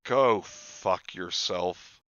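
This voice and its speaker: A man's voice: low-pitched, a bit bright, clear, resonant tone, no echo, muffled recording. Sarcastic man mocking a book he reads. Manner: sarcastic, mocking, reads passages slowly then rants. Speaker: podcast host reading a book aloud. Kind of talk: comedic book-reading commentary.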